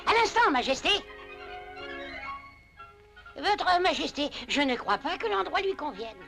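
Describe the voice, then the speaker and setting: Shrill, excitable tiny cartoon servant. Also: male voice, measured pace, creaky, full character voice. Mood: obsequious, hesitant. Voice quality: shrill, squeaky. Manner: stammering, pleading, indignant bluster. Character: tiny excitable male Lilliputian royal servant, cowardly, blustering. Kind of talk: 1930s animated film dub. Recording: old film soundtrack with loud music.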